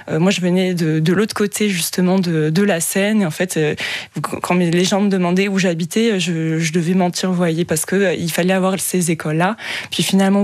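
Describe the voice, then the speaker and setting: A woman's voice: lowish voice, darkish tone, no room sound. A woman in her twenties answering as an interview guest, explaining conversationally and often correcting herself mid-sentence. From Paris western suburbs, France. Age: 20s. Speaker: guest, journalist and author.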